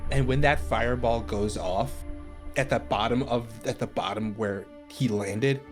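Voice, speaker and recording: A man improvising scene descriptions as a game master, in a halting way that circles back and rephrases. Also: mid-range voice, music in the background. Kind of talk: improvised tabletop game narration.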